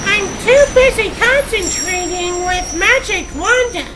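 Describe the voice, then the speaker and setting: A woman's voice: very high-pitched, expressive, over loud music. A woman voicing a sassy, theatrical female cat sorceress superhero in a comic dub, drawing out her words, with noise behind her. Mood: urgent, strained. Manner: theatrical, drawn-out, punning. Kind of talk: comic book dub acting.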